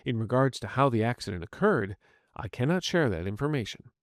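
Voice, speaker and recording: A man's voice: medium pitch, sing-song, fairly quick, dry recording, clean studio sound, lightly acted. A man voicing a polite, earnest artificial intelligence medical assistant in an audio story, speaking formally and courteously. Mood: clinical, explanatory. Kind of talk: scripted audiobook narration in character.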